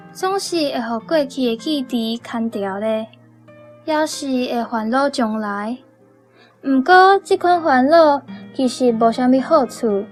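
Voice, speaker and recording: A young woman with a Taiwanese accent reads a reflective personal essay. Her voice sits fairly high and she takes her time, with a very slow, measured pace. Music plays softly behind her. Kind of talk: reading a personal essay aloud.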